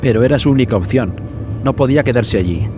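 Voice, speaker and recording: A man narrating a scripted suspense horror story for a podcast in a dramatic, measured way. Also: medium pitch. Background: background music.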